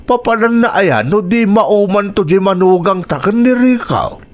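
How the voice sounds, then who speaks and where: A man's voice: high voice, animated, moderate pace. An actor with an Ilocano accent playing a concerned older grandfather in a radio drama, in an exclamatory, conversational manner. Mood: concerned, exasperated.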